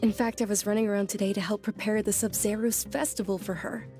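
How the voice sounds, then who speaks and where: Soft-spoken young noblewoman voiced by a woman, earnest, slightly gravelly fry, music clearly behind. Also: mid-range voice, fairly even intonation, quick pace, natural voice. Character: gentle, devout young noblewoman, polite and earnest. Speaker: noble lady. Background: game soundtrack music.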